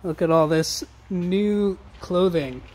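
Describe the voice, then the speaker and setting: A man with a high-pitched voice shows off sale items for an auction house. His patter is upbeat, informal and slangy, aimed straight at buyers. He records on a handheld device in a warehouse, with clear background noise. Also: takes it slowly, a little mellow. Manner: enthusiastic, slangy sales patter. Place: large warehouse showroom, indoors.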